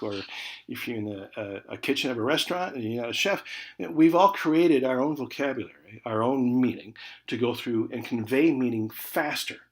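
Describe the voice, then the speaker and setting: An American man with a mid-pitched voice teaches an online college class. He talks informally, as if explaining directly to students. He leans on important words, then lets his phrases drop away softly. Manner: conversational, emphatic key words trailing off into soft check-ins like "okay". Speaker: college communication instructor.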